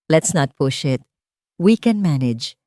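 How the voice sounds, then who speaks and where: A woman's voice: deep voice, lively intonation, slow pace, warm tone, dry recording, studio quality. A woman with a Filipino accent voicing a cold, controlling, irritated fiancée in an audio story. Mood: tense, insistent. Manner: insistent, cold.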